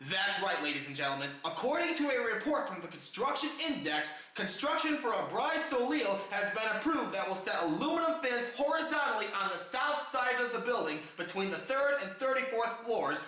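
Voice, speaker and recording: A man delivers mock news commentary as the host of a satirical report. His voice is bright and forceful, with a lively, theatrical rise and fall. He addresses the audience formally, and the room around him is very echoey. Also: sits high, fairly quick, few pauses.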